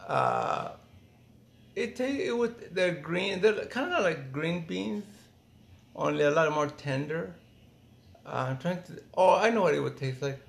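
Middle aged guy from mexico, super gravelly creaky voice, thinks out loud as he reminisces. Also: a little high, expressive, unhurried. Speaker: interviewee, former migrant worker. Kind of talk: reminiscing in a casual family interview.

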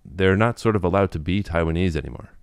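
Quick, low-voiced man explaining. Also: clean tone, dry recording, studio quality. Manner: quick, thoughtful, full of "you know" and restarts. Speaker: interview guest. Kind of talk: relaxed explanatory interview talk.

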